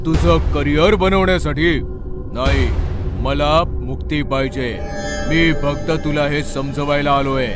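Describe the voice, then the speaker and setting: A man's voice: a little high, natural voice. Man acting a bitter, menacing male ghost over music. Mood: furious, threatening. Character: bitter, vengeful ghost of a dead male actor. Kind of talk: dramatised horror story acting. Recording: loud music under the voice.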